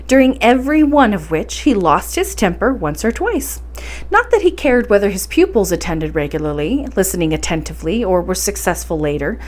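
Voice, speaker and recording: A woman hosting a library story time, speaking and reading aloud in an expressive, encouraging way. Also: mid-range voice. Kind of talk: bedtime story reading and introduction.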